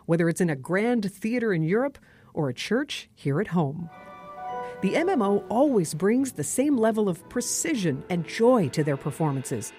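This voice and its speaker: A woman's voice: mid-range voice, big pitch swings, moderate pace, warm tone. A woman giving scripted, polished narration for a news feature. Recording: music clearly behind the voice.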